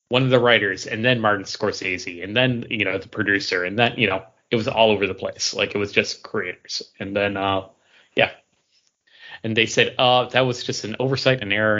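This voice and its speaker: A man's voice: lowish voice, pushed and bright, highs cut off. A man co-hosting a podcast, talking in a casual, conversational style about pop culture. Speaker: podcast co-host.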